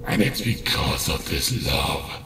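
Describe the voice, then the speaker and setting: Very deep, gravelly, slow monster. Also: male voice, expressive, crowd noise, full character voice. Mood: coldly cruel. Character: cruel, calm monster, male, taunting its creator. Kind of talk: dramatic fan fiction audio reading.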